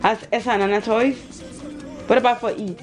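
A woman's voice: a little high, steady tone, a little fast, over music. A woman in her thirties chatting casually to a camera at arm's length in a home kitchen, repeating words and drifting into asides. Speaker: family vlogger and mother. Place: small home kitchen, indoors. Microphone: camera held at arm's length.

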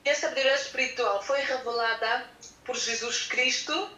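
A woman working as a consecutive interpreter, halting at times as she searches for words. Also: high voice, steady tone, sharp tone. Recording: echoey room sound.

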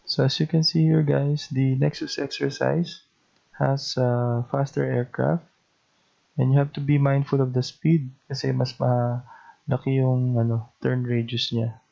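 A man's voice: mid-range voice, level tone, measured pace, vocal fry, a little mellow. A man with a Filipino accent speaking in the clipped, procedural style of an air traffic control demonstration, on a dull lo-fi recording.

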